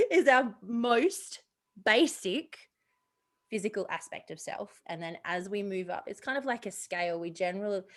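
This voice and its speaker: A woman co-hosting a podcast, talking things through in relaxed conversation. She laughs often and gropes for words with soft fillers as she explains. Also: mid-range voice, a little slow. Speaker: podcast co-host. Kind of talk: casual chat, explaining spiritual ideas.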